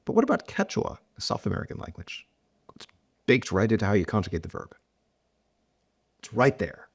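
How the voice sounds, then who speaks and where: A man's voice: on the low side, wildly swooping pitch, brisk, breathy edge. A middle-aged man, a mathematician being interviewed, explaining ideas thoughtfully with frequent restarts and examples. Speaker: mathematician guest. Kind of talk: academic podcast interview.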